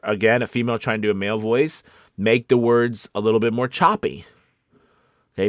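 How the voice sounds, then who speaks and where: A man's voice: mid-range voice, no room sound, studio quality. A man working as a voice-over coach, talking informally and encouragingly in a loose, rambling, conversational way. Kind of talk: informal live coaching session.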